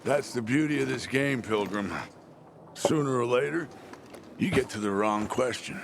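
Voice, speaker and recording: A man's voice: medium pitch, normal speed, creaky, background noise, natural voice. An actor playing a playful young man in a video game, teasing his drinking friends. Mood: wry. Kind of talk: video game character acting.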